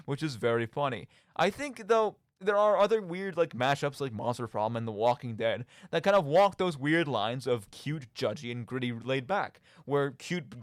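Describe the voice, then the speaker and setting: Young male radio host, lively swooping delivery, dry close sound, casual and jokey rambling. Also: fairly high pitch, no room sound. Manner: rambling, jokey, self-deprecating. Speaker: radio show and podcast host. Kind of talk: casual solo commentary on video games.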